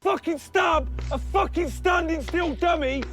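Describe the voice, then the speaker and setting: An army instructor shouting aggressive, profane drill orders at recruits. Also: male voice, extremely high pitch, unhurried.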